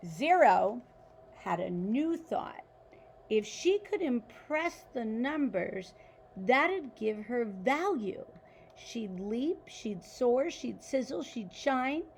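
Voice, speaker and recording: A woman reading a children's picture book aloud like a teacher, expressively and deliberately, with questions to the listeners. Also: sits high, a little hiss or hum, low-quality recording. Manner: animated, deliberate, pauses to ask listeners questions.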